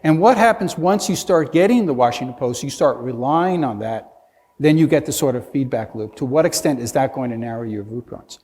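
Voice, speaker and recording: A man's voice: mid-range voice, expressive, fairly quick, a little mellow. A man speaking as a conference panelist, earnestly building his case with rhetorical questions and frequent restarts. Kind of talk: panel discussion. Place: conference hall. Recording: reverberant conference hall.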